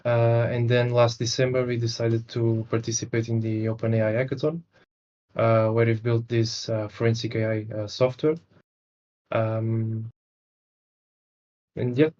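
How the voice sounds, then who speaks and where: Man from Portugal, panel guest: hesitant, filler-heavy, flat-toned, heard on a muffled call line. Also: medium pitch, a little slow. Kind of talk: casual self-introduction. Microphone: video call. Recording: dull lo-fi video call.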